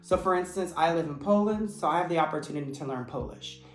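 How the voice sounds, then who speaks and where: Earnest man in an echoey room. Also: fairly high pitch. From United States. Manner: measured, steady, earnest explaining. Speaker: English teacher. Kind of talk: explanatory vlog talk. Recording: echoey room with faint music. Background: faint background music.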